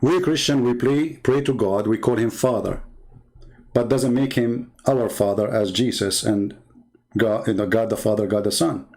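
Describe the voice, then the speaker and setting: A man's voice: fairly low pitch, moderate pace, a little mellow, low-quality recording. A middle-aged man with an Arabic accent, mocking and scornful as he argues with online commenters in combative commentary. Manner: mocking, scornful, sarcastic laughs. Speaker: online debater.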